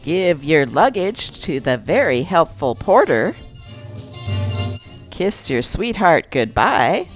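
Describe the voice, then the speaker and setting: Woman narrator with lively, swooping pitch. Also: slow pace, faint music behind. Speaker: channel host and narrator. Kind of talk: scripted narration, reading vintage newspaper articles aloud.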